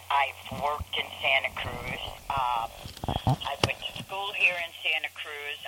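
An older woman calling in by telephone, talking at length in a loud, rambling way. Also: sits low, slight background noise. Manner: talkative, rambling with restarts. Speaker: call-in guest. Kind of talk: casual phone conversation. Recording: tinny phone line.

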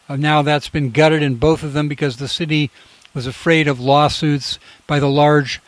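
A male radio host talking loosely and informally, circling back and restarting his sentences as he goes. His voice sits a little high. The sound is dry and close, with no room around it. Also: no echo. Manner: rambling, many fillers and restarts. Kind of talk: casual radio talk.

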